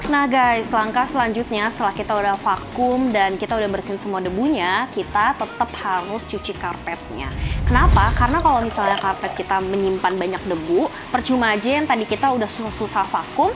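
A young woman with a Jakarta accent talks viewers through a hands-on task in a chatty, slangy manner, dropping frequent "eh" fillers. Her voice sits at a middling pitch and is recorded outdoors on a clip-on mic. Background music plays clearly underneath her. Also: brisk. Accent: Jakarta Indonesian. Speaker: car channel presenter. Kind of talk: casual how-to presenting to camera. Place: outdoors by a car in a residential driveway. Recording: music playing behind the voice.

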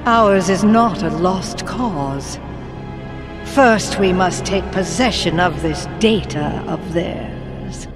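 A cold, grand villainess from an animated game. She speaks slowly and deliberately, with menace in each phrase. Scary music plays behind her. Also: lowish voice. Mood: cold scheming. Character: imperious evil sorceress, mature, cold and scheming. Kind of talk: animated game cutscene acting.

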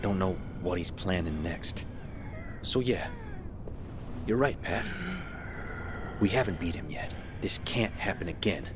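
An actor playing a tough, wry survivor in a cinematic zombie action game. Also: male voice, low-pitched, animated, a little slow, long pauses, background noise. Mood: wry, resolute. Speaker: game protagonist.

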